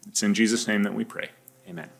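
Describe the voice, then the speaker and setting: Low-voiced man praying, halting. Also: little pitch movement, vocal fry, faint music behind. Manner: halting, repeating words as he prays. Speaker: prayer leader. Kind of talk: pastoral prayer.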